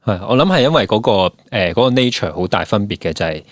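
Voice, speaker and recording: Deep-voiced Hong Kong man, very dry sound. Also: big pitch swings, fast, dry recording. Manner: explanatory, mixes English words into speech. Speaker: podcast host interviewing a guest. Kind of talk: conversational interview.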